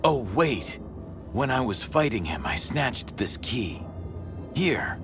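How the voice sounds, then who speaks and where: An actor playing a dutiful police commissioner in a video game, with a sincere, weighty delivery. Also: male voice, medium pitch, very animated, slow pace, music in the background. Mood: helpful. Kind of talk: video game cutscene acting.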